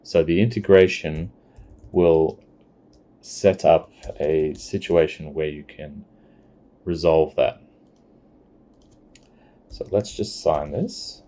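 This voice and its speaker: Brief: man, low mellow voice, explains a software demo step by step, dull lo-fi mic. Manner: plain step-by-step explaining, unhurried. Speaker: software demonstrator. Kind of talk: screencast product walkthrough. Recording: dull lo-fi recording.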